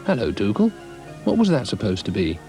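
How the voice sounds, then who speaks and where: A man's voice: fairly low pitch, wildly swooping pitch, brisk, warm tone, soft background music. A man voicing a sensible, curious young girl in a children's story, polite and inquiring. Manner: inquiring, straightforward.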